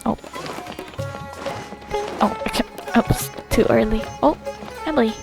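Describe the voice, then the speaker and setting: A woman's voice: on the high side, unhurried, gravelly. A young woman giving casual, chatty gameplay commentary, thinking aloud in short fragments. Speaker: game streamer. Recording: game music behind the voice.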